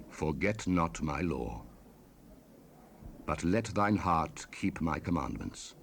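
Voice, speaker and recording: A man's voice: low voice, vocal fry, heavily acted. An actor playing a stern fatherly biblical sage, declaiming scripture solemnly in an archaic style for a dramatized audio Bible. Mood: grave, gentle. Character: stern fatherly biblical sage, exhorting and warning his son. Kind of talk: dramatized King James scripture reading, 1970s audio production. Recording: noisy raw cassette rip.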